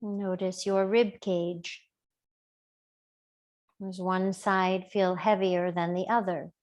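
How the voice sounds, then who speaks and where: Slow, gentle woman guiding meditation. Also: on the low side, level tone. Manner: gentle, unhurried, soothing, with long pauses. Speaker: yoga and body awareness teacher. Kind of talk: guided body scan meditation.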